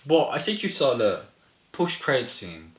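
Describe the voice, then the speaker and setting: A man with a mid-pitched voice talks casually, as a filmmaker laying out his ideas in an explanatory way. He often tags points with a questioning "right?", and the room around him is noticeably echoey. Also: sing-song, moderate pace, a bit bright. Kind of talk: casual post-table-read discussion.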